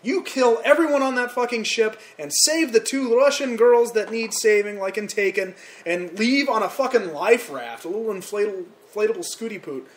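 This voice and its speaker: A woman hosting a podcast, teasing her guests in crude, profane casual banter. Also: fairly high pitch. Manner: crude, teasing, rapid-fire. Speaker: podcast host.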